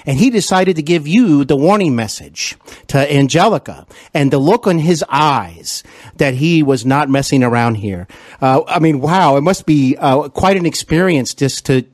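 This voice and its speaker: A man interviews a guest in a somewhat high-pitched voice with very little room sound. He is effusive and deferential, picking his words hesitantly as he frames his questions. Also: darkish tone, no room sound, studio quality. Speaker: podcast host and interviewer. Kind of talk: conversational interview.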